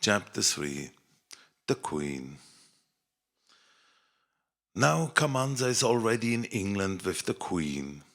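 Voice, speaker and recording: A man narrating a scripted fairy tale in an expressive, measured children's storytelling manner. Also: lowish voice, gravelly.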